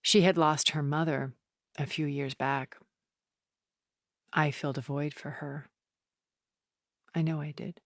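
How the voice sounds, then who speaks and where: A woman acting an elegant, calculating, regretful centuries-old woman in a video game, speaking in a measured, deliberate and intense way. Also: deep voice, fairly even intonation, no echo, studio quality. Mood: soft, confiding.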